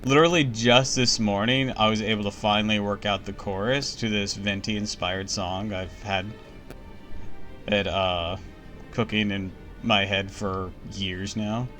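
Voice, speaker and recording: A man's voice: fairly low pitch, fairly even intonation, a little slow, fairly bright tone, soft background music. Blunt, joking man streaming a game. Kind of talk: casual gameplay commentary. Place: home streaming setup.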